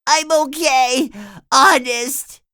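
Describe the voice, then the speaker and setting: Woman doing a squeaky sniffly sea sponge boy. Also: lively intonation, slow pace, vocal fry, bright, forceful tone, dry recording, clean studio sound, heavily acted. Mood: sick, pleading. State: sniffly with a cold. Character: cheerful young male sea sponge, eager. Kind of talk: storybook read-aloud.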